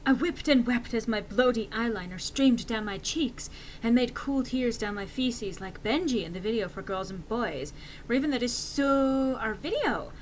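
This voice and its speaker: A woman's voice: on the high side, lively intonation, moderate pace, slight background noise, poor audio. A woman giving an exaggerated dramatic reading of fan fiction in a theatrical manner. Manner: theatrical, swinging into shouts for quotes.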